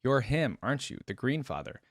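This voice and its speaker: A man's voice: mid-range voice, very animated, a little fast, no room sound, studio quality. A man voicing a frightened but defiant young female hunter in a read-aloud story, terse and pleading. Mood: subdued.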